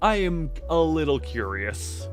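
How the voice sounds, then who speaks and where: A man hosts a casual livestream in a slightly high voice that moves around a lot in pitch. He talks to his viewers playfully, slipping into mock drama. Faint music plays behind him. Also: big pitch swings, unhurried. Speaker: livestream host.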